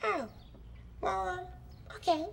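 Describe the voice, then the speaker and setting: A man's voice: very high voice, slow, gravelly, fairly bright tone, heavily acted. A man performing a small, eager furry monster child who giggles and talks about himself in the third person. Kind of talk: children's puppet show.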